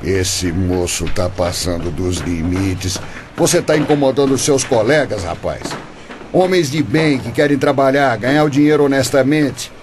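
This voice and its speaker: An actor playing a dismissive, crooked construction boss in a Brazilian radio soap, scornful and belittling. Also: male voice, medium pitch, measured pace, background noise, lightly acted. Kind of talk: radio soap opera acting.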